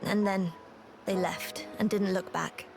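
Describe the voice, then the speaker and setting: This is a patient, forgiving townswoman in a video game. Her voice is medium in pitch and she explains things with sincere politeness. Prominent music runs underneath. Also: fairly even intonation, takes it slowly, gravelly. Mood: solemn, explaining. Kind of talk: video game cutscene acting.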